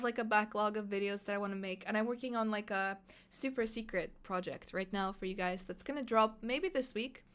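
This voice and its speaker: A young woman, a native Russian speaker, chatting excitedly on a live stream and reading out chat questions. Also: fairly high pitch, clear, resonant tone. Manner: chatty, excitable, thinks aloud with fillers.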